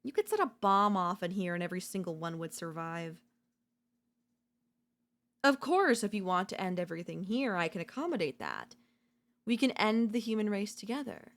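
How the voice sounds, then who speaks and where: A woman voice-acting an obsessive, unhinged yandere in a dark ASMR audio roleplay, theatrical and taunting, mocking and seductive. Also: medium pitch, lively intonation, normal speed, pauses often, natural voice. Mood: scornful, then excited, menacing. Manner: theatrical, taunting, swinging between intimate murmurs and intense outbursts.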